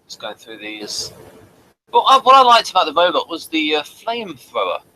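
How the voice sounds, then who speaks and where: A man hosting a casual episode review, speaking in a halting, hesitant way. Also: fairly high pitch, sing-song, sharp tone, poor audio. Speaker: review host.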